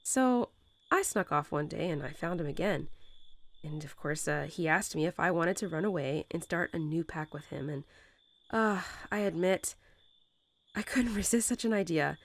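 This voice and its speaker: A woman playing a young mother wolf who talks tenderly and without hurry to her newborn pups, in the style of a bedtime roleplay audio. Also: sits low. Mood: fond, reminiscing. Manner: tender, unhurried, softly chuckling, hesitant informal phrasing. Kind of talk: soothing bedtime roleplay audio, intimate storytelling.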